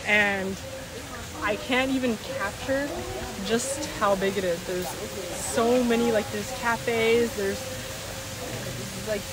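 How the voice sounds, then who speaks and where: A young adult woman chatting casually to camera in a rambling, halting way, often trailing off. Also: medium pitch, over a noisy crowd. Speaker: vlogger. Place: various spots on the go in Bali. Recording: noisy ambient phone-style vlog recording.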